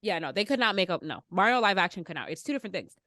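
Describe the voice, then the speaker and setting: A woman's voice: mid-range voice, sing-song, racing, bright, forceful tone, dry recording, clean studio sound. A woman hosting a podcast, chatting casually about pop culture. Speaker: podcast host.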